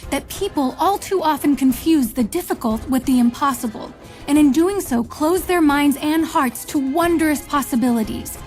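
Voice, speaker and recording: A woman playing a solemn, commanding visionary mentor in a fantasy game, speaking in a measured, portentous, rhetorical way. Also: high voice, a little mellow, soft background music, lightly acted. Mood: solemn, persuasive. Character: solemn, commanding female visionary mentor recruiting chosen leaders. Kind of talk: scripted fantasy game voice lines.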